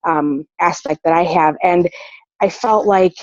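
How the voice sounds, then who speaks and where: A woman's voice: lowish voice, measured pace, no echo. A woman on a video call who is losing her voice from a cold, speaking hesitantly and often restarting her sentences. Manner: hesitant, with many fillers and restarts. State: losing her voice, getting sick. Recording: dull video call audio.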